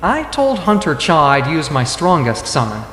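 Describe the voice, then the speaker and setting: An actor playing a calm, confident young male elite hunter in a dramatic manga dub, composed and measured. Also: a little high. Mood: thoughtful. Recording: echoey reverberant voice over background music.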